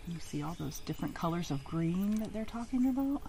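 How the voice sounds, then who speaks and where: A middle-aged woman reads a children's picture book aloud outdoors, with expressive, emphatic, sing-song phrasing. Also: on the low side, a little fast, gravelly, a little hiss or hum. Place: outdoors in a leafy garden.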